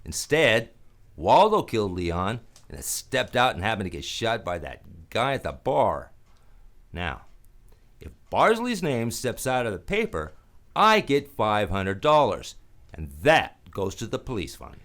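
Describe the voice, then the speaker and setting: An actor playing a wry, weary hardboiled detective in a radio drama, talking conversationally with some hesitation. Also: male voice, fairly low pitch, wildly swooping pitch, unhurried, fairly bright tone, heavily acted. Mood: casual, persuasive. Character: wry, weary male private detective narrating his case.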